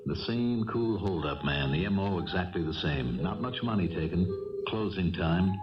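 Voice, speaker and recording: Low-voiced, hard-boiled American police lieutenant. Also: male voice, expressive, normal speed, music in the background. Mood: measured narration. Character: calm, wry, methodical police lieutenant. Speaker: narrator and lead character. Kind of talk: 1970s radio crime drama acting and narration. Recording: dull old radio broadcast, slightly distant.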